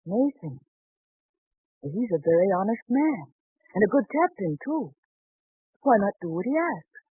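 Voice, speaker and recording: Wife, female: earnest, supportive, lively intonation, husky edge, vintage radio drama. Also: medium pitch, a little slow, no echo, exaggerated voice. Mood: encouraging. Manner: earnest, encouraging. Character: concerned, hopeful merchant's wife and mother. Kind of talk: 1940s radio drama acting. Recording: tinny, band-limited 1940s radio broadcast.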